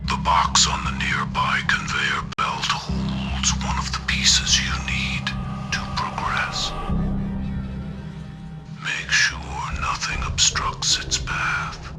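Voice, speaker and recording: An actor playing a sinister serial killer in a video game, taunting captives in a hushed, gravelly, deliberate and menacing voice. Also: male voice, in a whisper, soft background music. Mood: calm menace. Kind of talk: video game villain recorded message.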